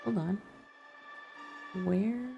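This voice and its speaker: A woman's voice: medium pitch, extremely slow, vocal fry, dull audio. A woman game streamer giving casual let's-play commentary, thinking aloud and muttering to herself as she plays. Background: chill background music and game sounds.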